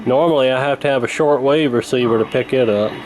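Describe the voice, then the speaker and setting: A man's voice: mid-range voice, quick pace, low-quality recording. An American man giving a casual hands-on commentary as a radio repair hobbyist, explaining as he goes. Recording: clear steady background noise. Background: old radio being tuned, room noise.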